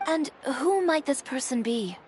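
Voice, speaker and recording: A cool, composed fashion designer. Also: female voice, high voice, expressive, unhurried, a little mellow, a little hiss or hum. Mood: probing. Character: poised young female fashion designer, composed and sharp. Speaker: boutique owner character. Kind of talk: video game voice acting. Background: game music.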